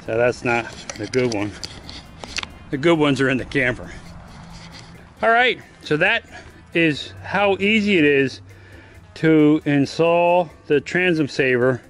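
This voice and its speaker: A man giving a casual step-by-step how-to while working on a boat trailer on his driveway, often turning away from a low camera. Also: expressive, slow, long pauses, a bit bright, soft background music. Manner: plain, practical, step-by-step explaining while working. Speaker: DIY vlogger. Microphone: camera mounted low on the ground, voice often off-mic.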